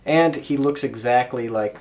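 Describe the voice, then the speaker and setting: Very low-voiced woman in casual chat. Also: unhurried, crowd noise. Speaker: wrestling podcast host.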